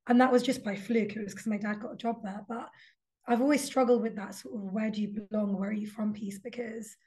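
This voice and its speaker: A woman's voice: fairly high pitch, steady tone, a little fast, darkish tone. A young adult woman, a guest author talking reflectively in a casual interview, with frequent ums and likes. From London.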